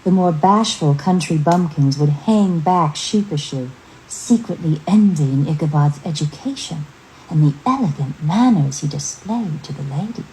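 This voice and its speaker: A woman reading a scripted story as narrator, with measured, expressive dramatic delivery. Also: low voice, slight background noise, rough recording.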